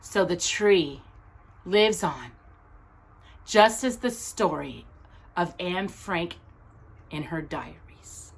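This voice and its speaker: A woman reading aloud as a storytime host, speaking earnestly and deliberately to young viewers, phrase by phrase. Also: on the low side, lively intonation, breathy edge.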